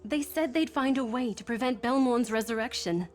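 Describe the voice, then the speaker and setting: An actress playing a composed adult heroine in a fantasy video game. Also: female voice, fairly high pitch, moderate pace, darkish tone, soft background music, lightly acted. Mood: serious. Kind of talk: game dialogue acting.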